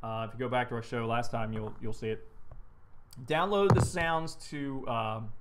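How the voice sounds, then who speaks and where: A man's voice: medium pitch, moderate pace, background noise. A middle-aged man co-hosting a casual live stream, telling corny jokes and reading trivia questions aloud, recorded on a desk webcam indoors. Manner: corny joke delivery, reads trivia aloud. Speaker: co-host. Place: small shop room with framed wall display, indoors.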